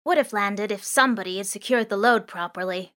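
A woman's voice: medium pitch, fairly quick, a bit bright, lightly acted. A woman voicing a cocky young punk boy thief with a cheeky, joking manner.

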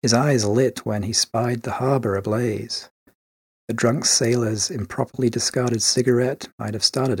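A man with a slightly husky voice narrating a scripted short story as in an audiobook. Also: medium pitch, darkish tone, no echo.

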